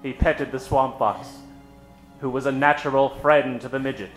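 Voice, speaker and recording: A man's voice: medium pitch, steady tone, normal speed. A man hosting and narrating an old-time radio comedy in a theatrical style. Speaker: host and narrator. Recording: roomy stage recording with music behind.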